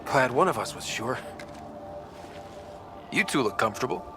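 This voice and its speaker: A man's voice: mid-range voice, animated, moderate pace, over music, natural voice. An actor playing a confident young male space explorer in a video game, casual and quippy. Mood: wry relief.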